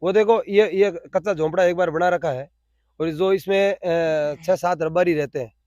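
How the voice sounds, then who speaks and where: A man's voice: sits high, steady tone, normal speed, a little mellow, no echo, highs cut off. A man with a Rajasthani accent explaining things in spontaneous interview answers, repeating phrases for emphasis. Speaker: interviewee, cow shelter volunteer.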